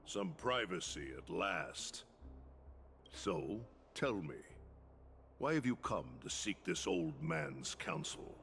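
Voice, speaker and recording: An actor playing a sardonic, commanding old warrior mentor in a video game, with a gravelly voice and grandiose delivery. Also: male voice, low-pitched, animated, slow pace, a bit bright, a little hiss or hum, full character voice. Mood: stern, probing. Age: older. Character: gruff, taunting old warrior mentor, theatrical storyteller.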